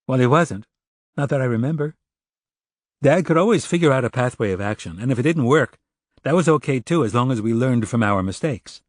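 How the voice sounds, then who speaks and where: A man's voice: medium pitch, animated, brisk, dry recording, clean studio sound, pitched above the performer's own voice, natural voice. A man voicing a defensive, suspicious young man being questioned by police, curt and guarded, in an audiobook. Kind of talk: audiobook character dialogue.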